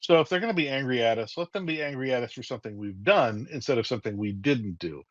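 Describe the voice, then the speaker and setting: A man's voice: mid-range voice, lively intonation, quick pace, no room sound. Male guest writer, wry and thoughtful storyteller; dull, muffled call audio. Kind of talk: conversational interview.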